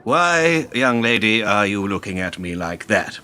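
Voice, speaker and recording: A man's voice: lowish voice, big pitch swings, a little slow, pushed and bright, a little hiss or hum, full character voice. A man acting in a radio play as a smug, cynical older political fixer who talks in a pompous, wordy, condescending way. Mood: pompous, instructing.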